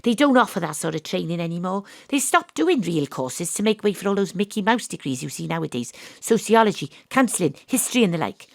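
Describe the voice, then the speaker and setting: A world-weary female detective in an audio drama. Her voice sits a little low and is dryly recorded. She narrates with wry, deadpan noir delivery, like someone who has seen it all. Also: fairly quick, gravelly, few pauses, dry recording. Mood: wry, brooding. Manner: deadpan, dry. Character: female private detective, world-weary, wry, hard-boiled ex-rugby star.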